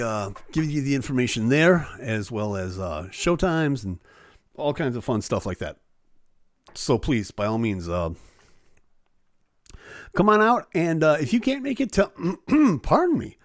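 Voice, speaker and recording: A man's voice: medium pitch, very animated, measured pace, long pauses, no room sound, clean studio sound. A man hosting a live stream, chatting casually with viewers in a self-deprecating way while losing his voice. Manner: chatty and self-deprecating, many fillers and asides. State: losing his voice, throat-clearing.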